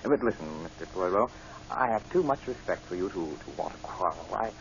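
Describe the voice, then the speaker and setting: An actor playing a smug, sinister gentleman murderer in an old radio drama, falsely genial and taunting, with stammered starts. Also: low-pitched, animated, brisk, a little hiss or hum. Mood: testy, controlled. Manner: taunting, mock-polite, hesitant stammering starts. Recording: dull, muffled old radio broadcast.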